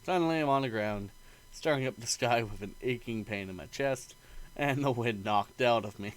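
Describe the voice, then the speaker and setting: A man reading a visual novel's narration aloud as a gaming host, expressive and informal. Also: medium pitch, moderate pace, slight background noise. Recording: faint game music behind.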